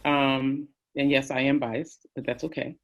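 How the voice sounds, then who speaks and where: A woman in her fifties, answering an interview question in a thoughtful, unhurried way with many fillers. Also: a bass voice, fairly even intonation, muffled recording. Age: 50s. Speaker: interview guest, family member.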